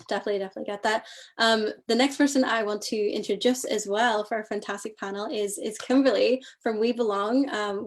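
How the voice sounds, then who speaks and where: A young woman from Northern Ireland hosting an online panel discussion, thoughtful and a little hesitant. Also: mid-range voice. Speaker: panel host.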